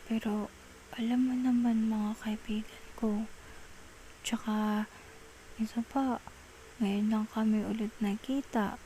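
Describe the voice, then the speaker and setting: A woman with a Filipino accent playing an affectionate, apologetic girlfriend in an ASMR roleplay, talking softly and coaxingly, often half-whispering. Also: medium pitch, close to an everyday voice, poor audio. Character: affectionate, apologetic girlfriend soothing a jealous partner. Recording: faint background noise.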